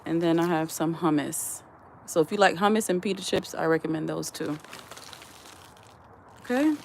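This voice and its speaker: Low-voiced woman chatting on a livestream. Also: normal speed, vocal fry, a little mellow, a little hiss or hum. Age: adult. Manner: reads viewer comments aloud and answers them; earnest, advising tone. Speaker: livestream host. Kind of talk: casual live-stream chat.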